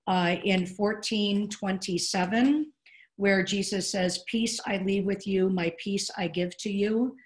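A woman's voice: medium pitch, fairly even intonation, slow pace. A middle-aged woman co-hosting a podcast about preaching, talking in an earnest, reflective way from home over a video call. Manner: earnest, reflective, gestures with hands while talking. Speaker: podcast co-host discussing preaching. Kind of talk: casual podcast discussion.

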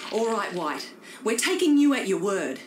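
Actress as hard-edged female survivor, suspicious, animated intonation, game cutscene, loud music. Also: mid-range voice, normal speed, lightly acted. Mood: suspicious, threatening. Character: tough, suspicious woman survivor who challenges people. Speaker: actor in a video game cutscene. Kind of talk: dramatic game cutscene acting.

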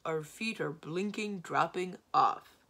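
A woman voicing a glum, loyal male monster with several heads, speaking in slow, mournful, ungrammatical phrases. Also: lowish voice, gravelly, bright, forceful tone, exaggerated voice. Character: three-headed male monster, glum, plodding, uneducated, loyal.

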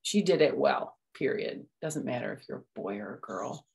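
A woman's voice: rumbling low, lively intonation, normal speed, vocal fry, a little mellow, breathy edge. A middle-aged woman hosting storytime, reading a picture book aloud and adding simple explanations for young children. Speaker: storytime host. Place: small room at home.

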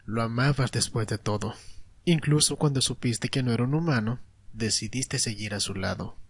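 Casting: male actor as menacing vampire official, mock-courteous, taunting, husky, close dry sound, audio drama. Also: mid-range voice, moderate pace, dry recording. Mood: taunting menace. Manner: mockingly polite, taunting. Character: sly male vampire Congress enforcer, silkily menacing. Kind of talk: Spanish-language ASMR roleplay audio drama.

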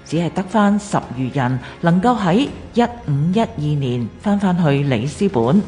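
A woman's voice: on the low side, animated, measured pace, a little mellow. A woman with a Hong Kong Cantonese accent reading scripted historical documentary narration for radio. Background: music.

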